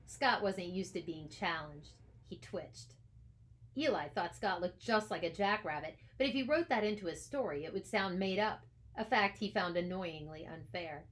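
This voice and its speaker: A woman author reading a storybook aloud in expressive, scripted narration. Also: lowish voice, moderate pace. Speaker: author reading their own book aloud.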